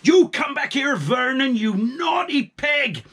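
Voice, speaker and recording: A man's voice: very high-pitched, lively intonation, slow pace, pitched above the performer's own voice, full character voice. Exasperated, whiny farmer, put on by a man. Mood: exasperated, scolding. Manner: whiny complaining that bursts into scolding shouts. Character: exasperated, grumbling male farmer chasing his naughty pig.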